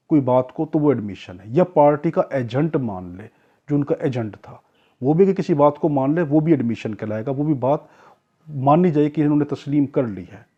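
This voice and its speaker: A man teaching an exam-prep law lecture in a didactic way, repeating key points and answering his own questions. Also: a little high. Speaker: law tutor. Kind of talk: explanatory exam-prep lecture with everyday examples. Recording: dull, lo-fi recording.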